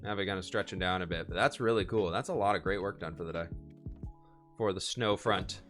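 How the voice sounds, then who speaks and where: Chatty streamer, a bit hoarse. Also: male voice, deep voice, fairly quick, a bit bright, soft background music. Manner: upbeat, chatty, reads and answers chat. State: a little hoarse. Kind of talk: casual live-stream chat while building.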